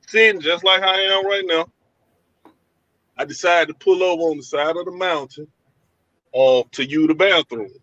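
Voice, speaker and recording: Storyteller, slightly high male voice, dry muffled call audio, colloquial and animated. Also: normal speed, pauses often, no echo. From Georgia. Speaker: guest telling an encounter story. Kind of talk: casual storytelling over a call. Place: sitting in his van.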